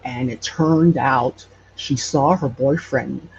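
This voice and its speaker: A man with a mid-pitched, very creaky voice tells stories as a casual interview guest. He speaks haltingly and often searches for the right word. The recording is dull and lo-fi, with faint noise behind him. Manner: halting, hesitant, searching for words. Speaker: podcast guest telling local legends.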